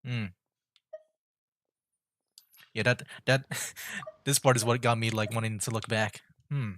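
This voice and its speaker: A man's voice: lowish voice, fairly even intonation, slow pace, fairly bright tone, slight background noise. A man giving casual live game commentary as a streamer, rambling as he thinks aloud.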